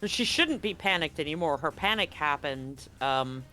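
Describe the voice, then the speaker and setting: A game master running a live tabletop horror game, joking with players and slowing down to narrate scenes. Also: medium pitch, soft background music. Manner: relaxed, joking, slows for vivid scene narration. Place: home setup, streamed live.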